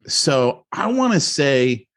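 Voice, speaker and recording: A middle-aged man co-hosting a podcast, telling a story in a rambling, animated way with many restarts and asides. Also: mid-range voice, slow. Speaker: podcast co-host. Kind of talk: casual storytelling chat.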